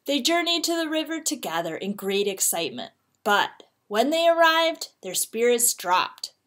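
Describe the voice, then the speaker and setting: A woman narrating a children's storybook expressively, with deliberate, dramatic phrasing. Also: fairly high pitch, big pitch swings, a bit bright. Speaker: read-aloud teacher.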